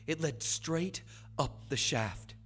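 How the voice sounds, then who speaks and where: High-voiced, fervent young man. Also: animated, a bit bright, a little hiss or hum. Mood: hushed, awed. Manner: fervent, dramatic, halting repetitions. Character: young rebellious son, obsessed, confessing his escape. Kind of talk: theatrical audio drama monologue. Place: stage before a studio audience. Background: music.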